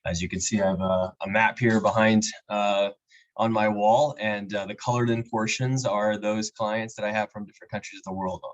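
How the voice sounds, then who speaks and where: A man, an immigration attorney, giving a measured explanatory talk at a webinar with frequent fillers. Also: on the low side, little pitch movement, dull audio. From Peru. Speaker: immigration attorney, guest speaker. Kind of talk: webinar presentation. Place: home or office with a map on the wall.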